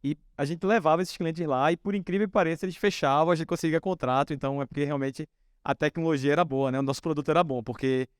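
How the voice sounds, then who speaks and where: A man in his forties from Northeast Brazil, a company founder telling stories from his early days in a relaxed, anecdotal way. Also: on the high side, fast talker, clean tone, no room sound, studio quality. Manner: relaxed anecdotal, many fillers like "né". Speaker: founder and guest speaker. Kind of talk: storytelling on a stage talk.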